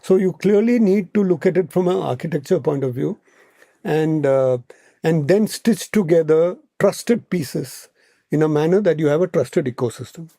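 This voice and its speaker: A man's voice: a little high, normal speed, warm tone, dry recording, studio quality. A man with an Indian accent speaking as an expert podcast guest, explaining point by point in conversation and often checking in with "right?".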